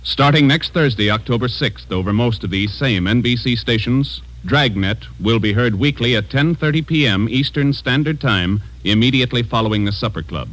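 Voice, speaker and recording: A man's voice: mid-range voice, normal speed, hardly any pauses, a bit bright, poor audio. A man with a mid-range voice works as a radio announcer. He reads the programme notice in the formal style of 1940s broadcasting.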